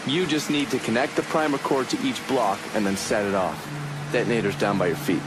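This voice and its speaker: An actor playing a wry, cool-headed war buddy who plans covert operations, talking casually in a video game cutscene. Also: male voice, fairly low pitch, brisk, lightly acted. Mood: matter-of-fact. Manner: casual, teasing, matter-of-fact. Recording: loud vehicle and outdoor noise.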